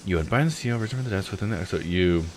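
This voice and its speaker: A man's voice: deep voice, fast, slight background noise. A man live-streaming a game, reading its text aloud and commenting in a laid-back, informal way. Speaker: live-streamer playing a visual novel.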